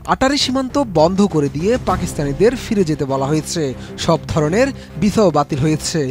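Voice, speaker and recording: High-pitched Bangladeshi man reporting over music. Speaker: news reporter. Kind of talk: scripted news report voice-over. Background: background music.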